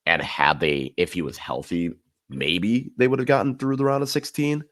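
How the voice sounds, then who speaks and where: A man's voice: deep voice, fairly even intonation, moderate pace, no room sound, clean studio sound. A man co-hosting a podcast, chatting loosely about football with many fillers and restarts. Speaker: podcast co-host. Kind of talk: casual sports chat.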